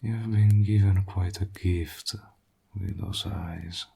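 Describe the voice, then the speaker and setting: Casting: male actor as a silky warlock host for intimate audio roleplay. Deep, extremely unhurried, soft-spoken, gracious and flirty with quiet menace. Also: exaggerated voice. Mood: flirtatious, amused. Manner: soft-spoken, breathy, slow and deliberate, flattering. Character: suave, courteous male warlock, hospitable, flirtatious, slyly menacing.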